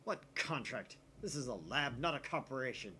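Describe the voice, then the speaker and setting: A man's voice: medium pitch, animated, moderate pace, a crowd in the background, close to an everyday voice. A man voicing an arrogant, bossy young male mad scientist from a visual novel, with pompous, dramatic declarations. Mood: grandiose. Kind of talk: visual novel character reading.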